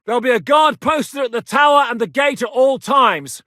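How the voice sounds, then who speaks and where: An actor playing a gruff, battle-hardened war commander in a video game, blunt and coarse as he barks orders. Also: very high-pitched, moderate pace, sharp tone, dry recording, studio quality. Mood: commanding. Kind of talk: game voice lines.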